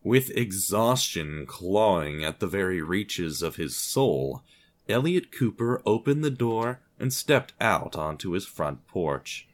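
A man's voice: low-pitched, very animated, takes it slowly. A man narrating scripted audio fiction in a dramatic storytelling style.

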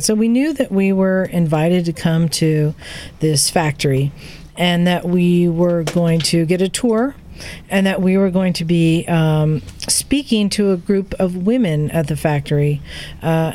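A woman's voice: fairly low pitch, a little slow, a little mellow. A tired, jet-lagged woman telling trip stories in a casual group podcast conversation. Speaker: podcast regular recounting a trip. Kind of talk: casual group chat storytelling. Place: garage with other people talking. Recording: noisy room around a group microphone.

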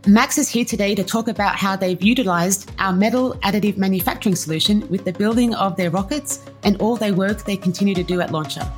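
A woman's voice: mid-range voice, fast, hardly any pauses, faint music behind. A woman hosting a podcast who reads a scripted intro and then interviews her guest in a friendly, upbeat way. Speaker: podcast host.

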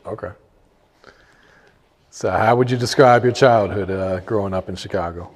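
A man's voice: fairly low pitch, moderate pace. A man asking questions as an interviewer in a casual, conversational way. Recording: long room reverb.

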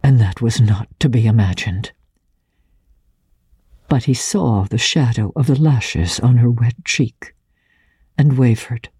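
A woman with a low, husky voice giving a dramatic narration of a classic novel as an audiobook reader. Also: slow pace, pauses often, dark tone, no room sound, clean studio sound. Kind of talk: dramatic scripted literary narration.

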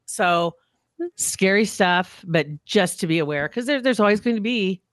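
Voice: medium pitch, expressive, moderate pace, a bit bright, dry recording, studio quality. A podcast co-host in casual chat, talking freely and laughing often.

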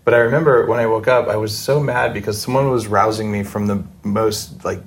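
Low creaky man, casual podcast interviewer. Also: brisk.

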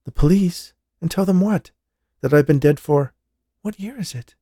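A man's voice: a little high, moderate pace, warm tone, dry recording, clean studio sound, pitched above the performer's own voice. A man voicing a frightened, pleading young woman. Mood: fearful. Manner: pleading, tearful and urgent.

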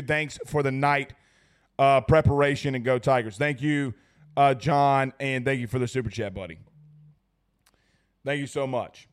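A young man hosting a fan livestream after a big game, his voice hoarse and strained from yelling. He talks in an excitable, rambling way, repeating lines for emphasis. His voice sits a bit high for a man. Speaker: sports talk show host. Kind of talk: excited post-game livestream chat reading super chats.

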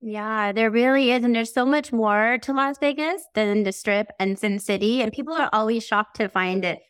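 A woman's voice: a little high, moderate pace, clear, resonant tone, no room sound. Effusive woman podcast host. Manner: flowery, effusive scripted delivery. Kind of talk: podcast interview, read intro.

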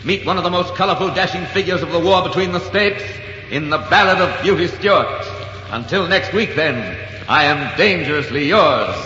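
A long echo and dull, hissy 1940s radio sound wrap around a bold story host, played by a man. The delivery is grand, alluring and dramatic, pitched a bit high. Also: normal speed, natural voice. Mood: bold, inviting. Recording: echoing, hissy, muffled 1944 radio broadcast.

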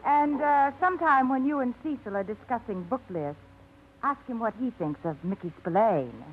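A woman's voice: on the high side, animated, normal speed, lightly acted. An actress in her thirties playing a restless, wry single woman who runs a lending library, in a 1950s radio drama. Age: 30s. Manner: wry, spirited. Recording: tinny old radio broadcast.

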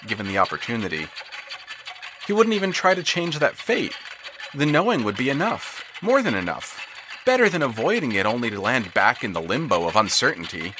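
A male narrator reads an audio drama with a very lively, rising and falling pitch. He tells the story expressively, swelling with tension and then dropping to hushed tones. A clear steady ambience runs under his voice. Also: background noise.

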